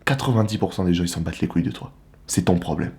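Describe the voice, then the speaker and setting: A young man from the Republic of the Congo giving a casual, animated, slangy monologue to the camera as a vlogger. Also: sits low, quick pace. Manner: animated, slangy, rhetorical questions.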